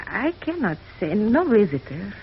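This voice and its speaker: An old radio drama recording with faint noise features an immigrant landlady with an Eastern European accent. Her pitch swings widely as she speaks in hesitant, broken English. She comes across as wary, plaintive and soft-hearted. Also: sing-song, measured pace, muffled recording. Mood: wary, reluctant. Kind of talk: 1950s radio drama acting.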